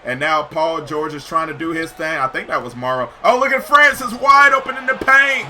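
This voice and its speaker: A man giving excited, slangy live commentary over basketball video game play, shouting at big plays, his voice getting hoarse. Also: on the high side, normal speed, slight background noise. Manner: slangy, says "yo" often. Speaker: gaming YouTuber.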